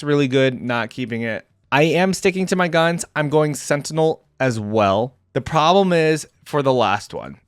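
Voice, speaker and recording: A man giving casual, unscripted reaction commentary as a gaming fan, slangy and thinking out loud as he second-guesses himself. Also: medium pitch, smooth, dry recording, studio quality.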